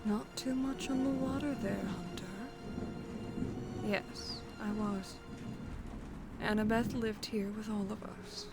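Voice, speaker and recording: A woman playing a stern, reserved nun with a measured, formal way of speaking in an audio drama. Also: medium pitch, steady tone. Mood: solemn. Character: stern, composed, grieving tall nun who gardens. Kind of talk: audio roleplay character acting. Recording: loud music behind.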